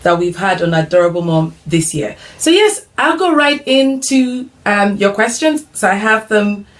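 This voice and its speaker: Woman, middle-aged, from Nigeria, chatty online host, playful teasing, mid-pitched voice, lots of ums. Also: expressive, normal speed. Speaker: host of an online mums' group show. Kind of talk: casual chat, interviewing her son.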